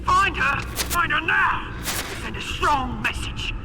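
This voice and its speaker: A man's voice: extremely high pitch, lively intonation, slow, raised voice, heavy background noise, full character voice. A man playing a furious, commanding villain barking orders in a video game. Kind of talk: dramatic video game acting.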